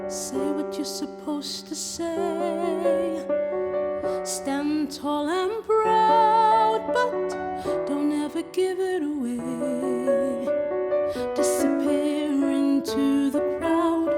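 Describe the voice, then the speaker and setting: A woman sings a slow musical theatre ballad in a very high register. Prominent piano accompaniment plays throughout. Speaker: singer.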